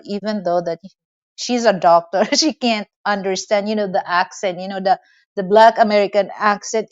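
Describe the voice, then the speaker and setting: A woman's voice: medium pitch, darkish tone, no echo. A woman from the Philippines with a Filipino accent and Tagalog as her first language, hosting a casual interview, often laughing and saying "you know". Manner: laughs while talking, many "you know". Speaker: host. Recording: dull lo-fi sound.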